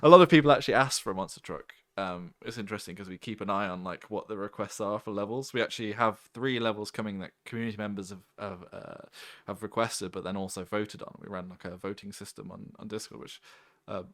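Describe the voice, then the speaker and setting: Chatty man with a low voice. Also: fairly even intonation, fast, few pauses, a bit bright, no room sound. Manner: chatty, laughing, many fillers. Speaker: game developer. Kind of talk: casual panel chat while playing.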